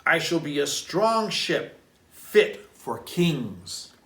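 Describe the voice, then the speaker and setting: A man voicing hopeful, proud young trees in a storybook, declaring their dreams of greatness in a measured way. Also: a little high, very animated, fairly bright tone, full character voice. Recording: roomy echo, distant microphone.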